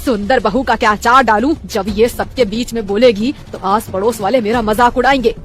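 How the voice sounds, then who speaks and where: A woman's voice: fairly high pitch, normal speed, natural voice. A woman voicing a scolding, mocking mother-in-law in a cartoon story. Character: scolding middle-aged mother-in-law, sharp-tongued. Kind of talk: cartoon voice acting.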